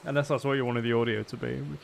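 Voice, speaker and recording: A man's voice: medium pitch, level tone, fast talker, creaky, a little hiss or hum. A man chatting casually on a live game stream in a rambling, self-deprecating way. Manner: mildly sweary. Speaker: game streamer and audio creator.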